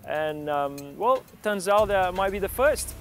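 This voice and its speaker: Thirty-something man, mountaineer, slightly high voice. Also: moderate pace, background noise. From born in Argentina, raised in Brazil. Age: 30s. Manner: relaxed, with many fillers and restarts. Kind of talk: documentary interview and voice-over.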